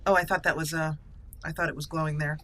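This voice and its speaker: A woman's voice: low-pitched, fairly even intonation, fast, fairly bright tone. A woman giving casual roleplay commentary as she plays a game, talking to her character and musing in role. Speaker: gaming channel host. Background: video game sounds.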